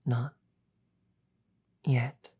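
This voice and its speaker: A woman voicing a regal, calm demon queen in a roleplay audio drama, speaking in a slow, deliberate, breathy murmur with measured pauses and quiet menace. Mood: pensive, probing.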